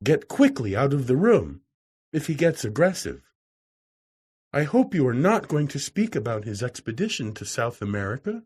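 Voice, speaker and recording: A woman's voice: extremely low pitch, big pitch swings, moderate pace, darkish tone, husky, full character voice. A man reading aloud who voices a nervous middle-aged wife, worried and pleading. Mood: anxious.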